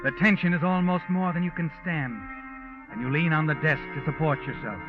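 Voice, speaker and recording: An actor playing a sardonic, all-knowing storyteller, insinuating and ominous, in a 1940s radio mystery. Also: male voice, fairly high pitch, steady tone, a little fast, close to an everyday voice. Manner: taunting, insinuating, second-person narration. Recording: dull vintage radio with music. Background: music.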